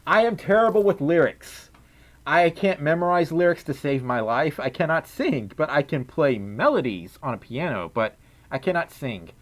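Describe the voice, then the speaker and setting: Young guy streaming, scratchy voice, kinda high and bouncy, giggles a lot reading chat. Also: animated, moderate pace. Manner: playful and giggly, hums idly between chat messages. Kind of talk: casual livestream chat reading donations.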